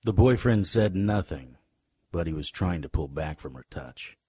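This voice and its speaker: A hard-boiled private eye in a noir radio play. His voice is very low and gravelly, telling the story in the first person with dry wisecracks and tough-guy grit. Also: lively intonation, normal speed, warm tone, no echo. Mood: wry narration. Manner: wry, tough-guy delivery.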